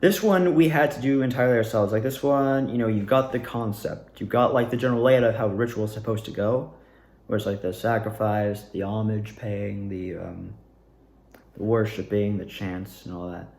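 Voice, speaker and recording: A man's voice: fairly low pitch, normal speed, darkish tone, reverberant. A young man talking casually about his own experiences in a rambling, self-correcting monologue. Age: young adult. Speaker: drama student.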